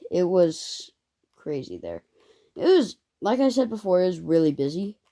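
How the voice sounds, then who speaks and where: An eleven-year-old girl telling a casual story to viewers in a halting, rambling way, her voice occasionally squeaky. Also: warm tone. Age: child, eleven. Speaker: first-time video creator.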